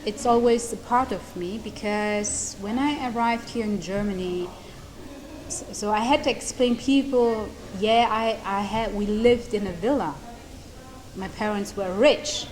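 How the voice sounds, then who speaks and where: A woman in her thirties from Eritrea whose first language is Tigrinya, answering interview questions with many pauses and ums. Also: medium pitch, a little mellow, low-quality recording. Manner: hesitant, with many ums and restarts, laughing lightly. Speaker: lawyer and human rights advocate.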